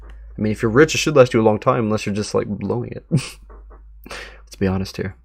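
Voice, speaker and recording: A man's voice: fairly low pitch, brisk, creaky, darkish tone, breathy edge. A man doing a casual live reaction, musing informally and chuckling as he reads and comments. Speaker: streamer reacting to a horror web series.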